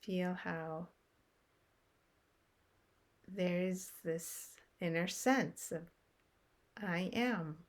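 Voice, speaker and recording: A woman guiding a meditation in a soft, unhurried way, with long pauses between short phrases. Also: lowish voice. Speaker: meditation guide. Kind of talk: guided meditation.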